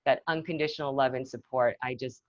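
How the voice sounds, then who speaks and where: Low-voiced woman guest, halting and thoughtful. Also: fairly even intonation, no echo, highs cut off. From Massachusetts, north of Boston. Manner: thoughtful, peppered with "you know" and restarts. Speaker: guest, marketing executive. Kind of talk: casual conversational interview.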